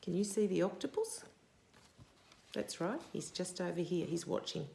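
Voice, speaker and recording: A woman in her sixties reads a picture book aloud to young children in an expressive, deliberate way, stopping to put questions to them. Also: lowish voice, gravelly, slight background noise. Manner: deliberate, animated, asking questions of young listeners. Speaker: storytime host reading a picture book to children. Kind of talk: expressive children's read-aloud with asides to the listener.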